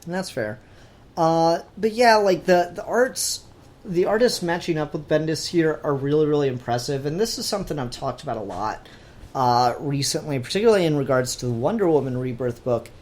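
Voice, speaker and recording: A man's voice: fairly high pitch, lively intonation, brisk, darkish tone. A man talking casually as a podcast co-host in a review discussion, in a hesitant, hedging, conversational way.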